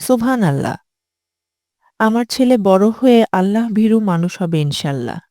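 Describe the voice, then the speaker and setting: Mellow-voiced, gentle devout mother played by a woman. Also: mid-range voice, expressive, moderate pace, dry recording, studio quality, natural voice. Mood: proud, prayerful. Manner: nurturing, gently instructive. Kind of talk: scripted cartoon dialogue.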